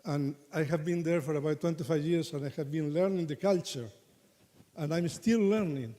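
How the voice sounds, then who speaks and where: A man's voice: fairly high pitch, moderate pace, mellow. A man from Argentina, giving an earnest conference speech as a Buddhist monk, repeating himself and restarting phrases. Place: large conference hall. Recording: hall reverb with faint noise.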